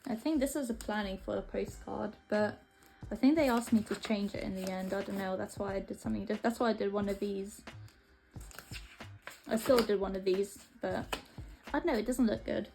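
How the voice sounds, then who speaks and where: A woman's voice: medium pitch, fairly even intonation, moderate pace, creaky, darkish tone, faint music behind, rough recording. A young woman from Latvia chatting casually and hesitantly as she flips through her old sketchbooks. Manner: rambling, hesitant, offhand. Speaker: art vlogger. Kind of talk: casual narration while flipping through sketchbooks.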